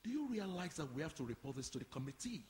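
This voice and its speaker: A man's voice: a little high, sing-song, quick pace, darkish tone, slight background noise. A man playing an officious, indignant caretaker who lays down orders in a pompous, commanding way in a live radio play. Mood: stern. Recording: echoey hall, live performance.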